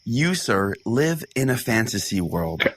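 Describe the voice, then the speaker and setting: A man's voice: on the low side, very animated, unhurried, fairly bright tone, dry recording, heavily acted. A man playing an earnest, smug pastor who hosts a comedic radio show, with a theatrical matter-of-fact delivery. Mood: smug debating. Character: earnest, easily scandalized male pastor hosting a radio show. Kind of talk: comedic mock talk radio hosting.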